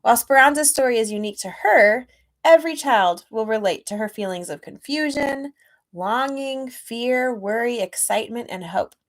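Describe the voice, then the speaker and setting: A woman reading a children's storybook aloud expressively and gently, with chatty asides to young listeners. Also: on the high side, animated, moderate pace. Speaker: children's author reading own book aloud.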